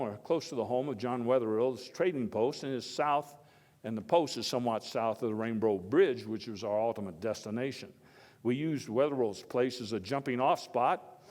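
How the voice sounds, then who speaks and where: A man playing an elderly, folksy and opinionated early-twentieth-century American statesman and outdoorsman in a live historical speech, halting yet oratorical. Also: mid-range voice, darkish tone, close to an everyday voice. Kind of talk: live dramatized first-person historical speech. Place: indoor hall before a live audience. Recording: echoey hall with long reverb.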